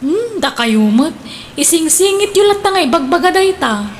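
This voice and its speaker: A woman's voice: very high voice, sing-song, normal speed, slight background noise, heavily acted. A woman voicing a teasing young adult daughter in a radio drama. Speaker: grown daughter. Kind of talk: Ilocano radio drama acting.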